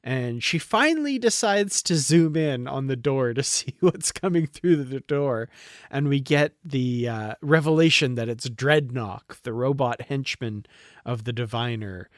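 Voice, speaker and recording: A man's voice: mid-range voice, expressive, unhurried, dry recording, studio quality. A man co-hosting a podcast, talking through a review in a casual, conversational way. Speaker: podcast co-host.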